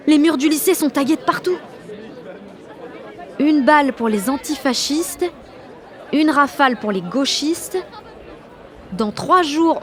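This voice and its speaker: A woman playing a rebellious, sardonic sixteen-year-old schoolgirl who tells her story in blunt, swearing slang in an audio drama. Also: sits high. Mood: shocked. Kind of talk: first-person audio drama narration in slangy speech.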